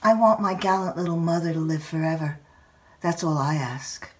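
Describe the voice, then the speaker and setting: An older woman reads a literary personal essay aloud in a measured, expressive storytelling manner. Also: deep voice, recorded from a distance. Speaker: writer reading own essay.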